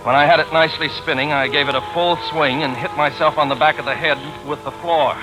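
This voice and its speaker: An actor playing a wordy, wryly pompous gentleman sleuth in a 1940s radio drama, with formal, precise diction. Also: a little high, steady tone, brisk, over music, close to an everyday voice. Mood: wry, deadpan. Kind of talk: 1940s radio acting, noir narration. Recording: noisy vintage 1940s radio recording.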